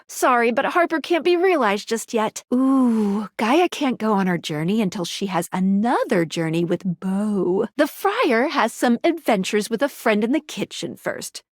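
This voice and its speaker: A woman's voice: a little high, no room sound, clean studio sound. A woman voicing a peppy, quirky female guide in a dating sim video game, with a perky, theatrical delivery. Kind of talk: animated video game character voice lines.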